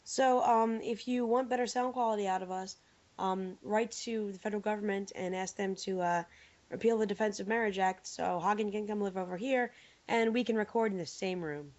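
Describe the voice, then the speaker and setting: A woman co-hosting a podcast with a hoarse voice from laryngitis, joking and bantering in casual chat. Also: medium pitch, brisk, darkish tone. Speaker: podcast co-host. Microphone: remote call microphone. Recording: dull lo-fi online call audio.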